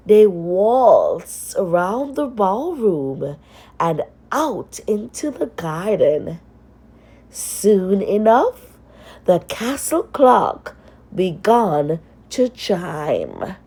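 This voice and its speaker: A woman narrates a children's storybook very slowly, pausing for drama. The voice is mellow and slightly husky, with expressive phrasing. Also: medium pitch.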